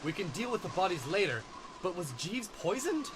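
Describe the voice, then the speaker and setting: A man's voice: high-pitched, big pitch swings, moderate pace, background noise. A man playing a pompous, dramatic eldest son who takes charge of the family in an amateur murder mystery audio drama. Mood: commanding. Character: pompous eldest son Frank, self-appointed family head.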